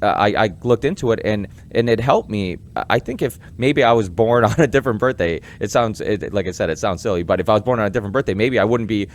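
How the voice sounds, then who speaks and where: A man in his twenties hosting a podcast, talking in fast, rambling bursts and stumbling over words. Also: on the low side, lively intonation. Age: 20s. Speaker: podcast host. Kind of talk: podcast interview.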